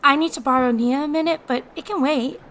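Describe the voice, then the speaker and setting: Loyal, informal teenage girl in fantasy drama: bright, sharp, highish voice. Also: brisk, slight background noise. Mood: breezy. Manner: breezy, informal. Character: plucky, casual, loyal modern teenage girl.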